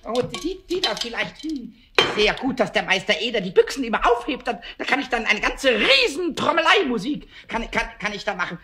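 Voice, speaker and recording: Mischievous little kobold for children's radio play: very high, animated, childlike, stammering; noisy old vinyl sound. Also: male voice, a little fast, hardly any pauses, exaggerated voice. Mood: musing. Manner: excitable, childlike, stammering and repeating words. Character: small, cheeky, mischievous, stubborn male kobold. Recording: old vinyl record with surface noise.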